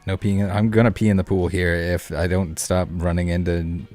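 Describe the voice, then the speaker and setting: A male streamer with a low voice talks casually through a card game. He rambles and jokes with his viewers in a laid-back way. Faint music plays behind him. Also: flat delivery, moderate pace, a little mellow. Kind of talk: casual live game commentary and chat with viewers. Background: background music.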